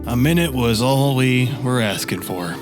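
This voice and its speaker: A man playing a tabletop game, improvising dialogue in character in a measured, diplomatic way. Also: medium pitch, expressive. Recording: music bed under the voice.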